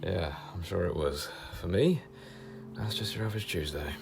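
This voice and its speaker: A man's voice: sits low, moderate pace, gravelly, over music. A man playing a brave, down-to-earth young companion to a space-time traveller, speaking in a wry, colloquial way in an audio drama. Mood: wry, surprised.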